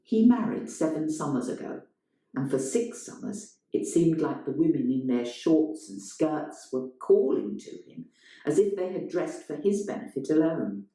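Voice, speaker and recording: A woman reading literary fiction aloud as a storyteller, in a measured, dramatic way. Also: deep voice, big pitch swings, warm tone. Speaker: story reader. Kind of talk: scripted literary narration, performed reading. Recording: very echoey room.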